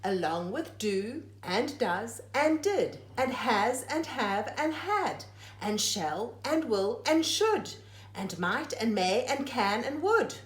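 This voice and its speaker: A woman's voice: a little high, very animated, takes it slowly. A woman reading a rhyming children's picture book aloud like a teacher, phrase by phrase with dramatic emphasis. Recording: echoey room.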